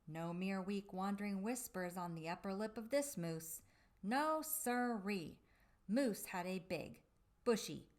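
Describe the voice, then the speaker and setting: Slow, animated woman reading a storybook. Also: mid-range voice. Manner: theatrical, drawn-out emphasis with dramatic pauses. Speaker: storybook reader for children. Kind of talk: expressive read-aloud of a children's picture book.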